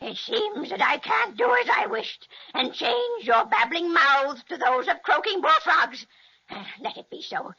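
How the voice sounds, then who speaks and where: A wicked old witch in a vintage radio serial. Her shrill voice is bright and swings wildly as she spits menacing threats and cackles. The recording is dull and aged. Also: expressive, unhurried, heavily acted. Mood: bitter, spiteful. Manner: theatrical, menacing incantations and threats.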